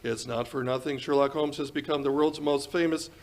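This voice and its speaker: An actor playing an eager 1940s radio announcer and sponsor pitchman, polished and emphatic in his delivery. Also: fairly even intonation, fairly quick, vocal fry, slight background noise, close to an everyday voice. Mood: admiring. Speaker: announcer and host.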